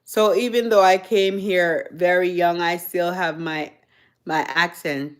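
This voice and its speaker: A Jamaican woman chats live with her viewers into a phone or webcam. She talks at an unhurried, drawn-out pace in a slightly low voice. She rambles and laughs often. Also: steady tone. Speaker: livestream host. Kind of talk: casual live chat with viewers.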